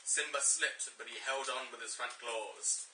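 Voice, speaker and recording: A man's voice: medium pitch, a little slow, bright, forceful tone. A man reads a children's storybook aloud to friends in a playful, dramatic, jokey way. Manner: dramatic, jokey, mock-teacherly asides. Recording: echoey room, distant mic, faint noise.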